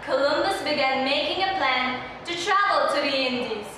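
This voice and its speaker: A woman's voice: high-pitched, moderate pace, noisy background. A young adult woman, a student narrator reading scripted storybook narration. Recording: echoey hall from far off, music behind.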